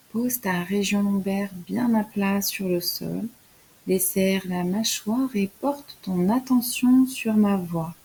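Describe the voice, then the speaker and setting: A woman leading a scripted guided relaxation in a soft, soothing, instructional way, as a yoga nidra guide. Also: medium pitch, slightly rough, poor audio.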